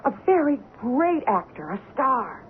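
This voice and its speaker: An actress playing a haunted, intense woman convinced of contact with spirits, with deliberate dramatic phrasing in old-time radio style. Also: high voice, sing-song. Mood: intense revelation. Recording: noisy, narrow-band old radio recording.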